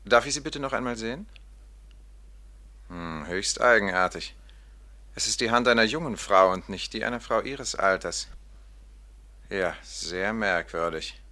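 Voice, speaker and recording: Suspicious doctor, piercing bright tone, commanding, 1950s radio drama. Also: male voice, fairly low pitch, expressive, measured pace, long pauses. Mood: suspicious, probing. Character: suspicious, commanding doctor, coolly probing and insistent.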